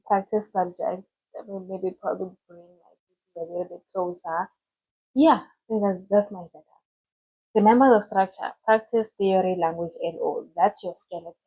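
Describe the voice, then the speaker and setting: A woman's voice: on the low side, a little mellow, husky, low-quality recording. A young woman giving casual study-planning tips to camera, chatty and loose, often restarting her sentences. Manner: chatty, loose and self-correcting, with frequent restarts. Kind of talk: casual explanatory tutorial.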